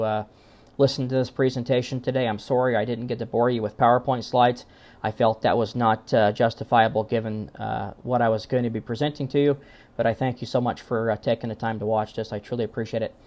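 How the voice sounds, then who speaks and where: A man's voice: medium pitch, darkish tone. A man giving an informal recorded presentation in an earnest, wordy way with formal phrasing. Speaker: conference presenter, amateur radio exam team founder.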